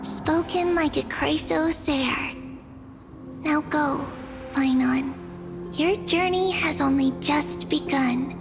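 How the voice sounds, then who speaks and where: Serene oracle lady in a game, high voice, solemn n formal, music behind. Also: slow, gravelly, exaggerated voice. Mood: solemn encouragement. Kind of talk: video game story dialogue.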